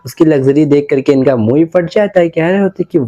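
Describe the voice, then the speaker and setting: A man's voice: a little high, fast, dark tone. A man narrating a comic story recap informally, mixing in English words and voicing the dialogue, on slightly dull audio.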